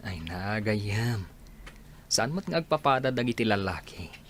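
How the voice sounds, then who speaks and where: Man playing lower-voiced coaxing workmate. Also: sing-song, unhurried, a bit bright, a little hiss or hum. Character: friendly coaxing male workmate. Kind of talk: Filipino audio drama dialogue.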